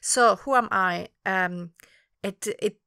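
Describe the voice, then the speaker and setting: Spanish-accented woman, smooth and thoughtful. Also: mid-range voice, slow pace, sharp tone, no echo, clean studio sound. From Spain. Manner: thoughtful, searching for words. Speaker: guest interviewer. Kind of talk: reflective conversational interview.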